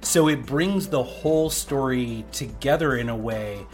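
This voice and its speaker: Guy in middle age doing a chatty podcast intro, normal pitch, light music under. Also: a little slow. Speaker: podcast co-host, actor.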